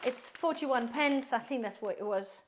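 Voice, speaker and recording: Chatty woman vlogger with a slightly higher voice. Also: moderate pace, slight background noise. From based in the UK. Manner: chatty and rambling, addresses viewers as guys. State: slightly hoarse, losing her voice. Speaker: prepper vlogger. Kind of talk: casual grocery haul vlog.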